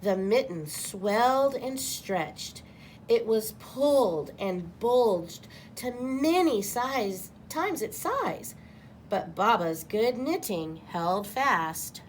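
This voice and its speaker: A woman's voice: mid-range voice, very animated, extremely slow, slight background noise. A woman reading a picture book aloud to children as a storyteller. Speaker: guest storybook reader.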